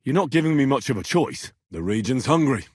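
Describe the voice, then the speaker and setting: Young British medieval game hero: husky, down-to-earth, earnest servant lad, very dry sound. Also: male voice, mid-range voice, animated, a little fast, dry recording. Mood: frank, down-to-earth. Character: plain-spoken young male medieval servant and adventurer. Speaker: video game voice actor. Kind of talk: scripted game character dialogue.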